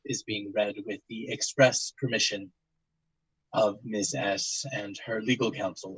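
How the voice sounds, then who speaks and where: An actor playing a grave investigator, speaking formally and deliberately as he questions and narrates. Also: fairly low pitch, a bit bright, husky, no echo, full character voice, rough recording. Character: grave, measured male investigator recording testimony of tragedy. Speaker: interviewer and narrator. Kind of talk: solemn dramatic monologue and questioning.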